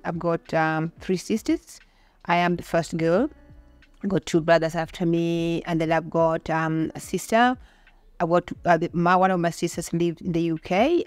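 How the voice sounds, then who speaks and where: A woman's voice: lowish voice, steady tone, normal speed, darkish tone, no echo. A middle-aged woman with a Kenyan accent talking about her own life in a conversational interview. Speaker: podcast guest.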